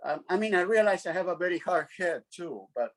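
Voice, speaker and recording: A middle-aged man being interviewed, talking frankly in a halting, self-conscious way. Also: sits high, expressive, fairly bright tone. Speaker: interview guest. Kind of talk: casual interview chat.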